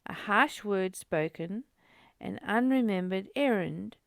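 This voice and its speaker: Slow, creaky woman leading a study. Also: mid-range voice, animated, dry recording. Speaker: host of a spiritual book study. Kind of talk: reading aloud and informal teaching commentary.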